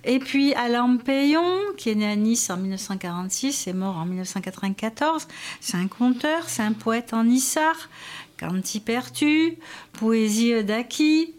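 A woman presenting a radio segment, working through a list of writers in a measured way with frequent hesitations. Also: on the high side, expressive. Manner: measured, listing names with hesitations.

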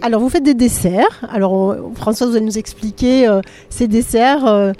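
A woman interviewing guests for local radio, asking questions in a hesitant, halting way. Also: a little high, warm tone.

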